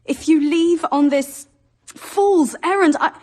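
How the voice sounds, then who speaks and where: An actress playing an anxious young wife in a video game, tender but unyielding. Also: female voice, high voice, takes it slowly, dark tone. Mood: upset, firm. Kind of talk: video game cutscene acting.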